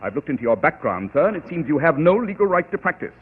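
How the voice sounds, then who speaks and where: An actor playing a cool, arrogant master detective in an old radio drama, measured, precise and dryly cutting. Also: male voice, on the high side, sing-song, breathy edge. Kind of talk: 1940s radio acting. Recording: tinny old radio broadcast.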